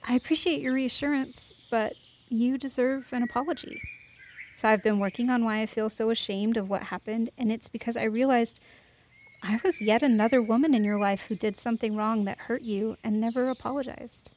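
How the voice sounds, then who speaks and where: A woman's voice: on the high side, fairly even intonation, a little fast, a little mellow, slight background noise, lightly acted. A woman playing an earnest, fierce but contrite young woman in a naturalistic podcast drama, speaking sincerely and carefully. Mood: remorseful. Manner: sincere, careful, emotionally open. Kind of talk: naturalistic fiction podcast acting.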